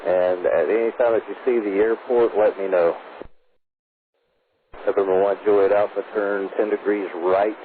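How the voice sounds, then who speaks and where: A dull, noisy radio transmission carries a male air traffic controller. He speaks in a low, very even voice. His delivery is procedural, with halting pauses between instructions. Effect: aviation radio.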